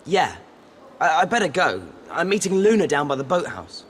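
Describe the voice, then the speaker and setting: An actor playing an earnest, loyal teenage schoolboy hero in a video game. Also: male voice, on the high side, big pitch swings, moderate pace, slight background noise. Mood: awkward.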